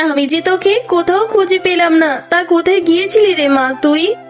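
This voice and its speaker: Woman as cartoon mama fox, high-pitched, strict yet loving, exclaiming, light music behind. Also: normal speed. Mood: tender. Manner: scolding, exclaiming. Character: young fox mother, strict but loving. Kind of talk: children's cartoon story acting.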